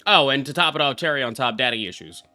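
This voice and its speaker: A man's voice: mid-range voice, expressive, fast talker, bright, forceful tone, no room sound. A young man, a game streamer, giving casual live reaction commentary in a brash, exclamatory, heavily profane way. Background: game music.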